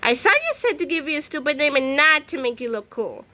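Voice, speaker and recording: A woman plays a cheeky young schoolboy from a storybook. The voice is high and bright, with an eager, mischievous lilt. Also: sing-song, brisk, exaggerated voice.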